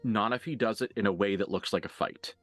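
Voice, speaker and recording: Low-voiced man as game master, dry close sound. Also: no echo, soft background music. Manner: halting, casual, sweary. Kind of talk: improvised tabletop game narration and in-character dialogue.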